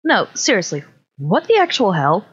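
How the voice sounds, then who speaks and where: A woman's voice: mid-range voice, big pitch swings, moderate pace, breathy edge, no room sound, highs cut off. A woman giving casual let's play commentary as a gaming streamer on a headset.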